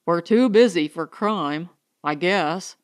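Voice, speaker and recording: Audiobook role: female reader as terse male colony farmer, lowered voice, awkward, unhurried, plain-spoken. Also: smooth. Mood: matter-of-fact. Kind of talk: audiobook character dialogue.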